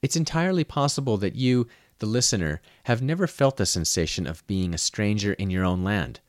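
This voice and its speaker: A man's voice: lowish voice, expressive, a little fast, dry recording, clean studio sound. A man with an American accent, speaking as a podcast host who narrates and interviews. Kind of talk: scripted narration and interview questions.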